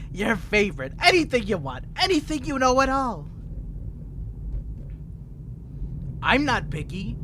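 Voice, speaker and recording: An insane, centuries-old male vampire prisoner toys with his listener in an audio roleplay. His voice sits fairly high and swoops theatrically from low murmurs to loud outbursts. He draws his words out slowly, playful and menacing, over a noisy vehicle rumble. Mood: pleading, playful. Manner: taunting, teasing, theatrical, giggling, drawn-out delivery. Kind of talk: theatrical ASMR roleplay monologue.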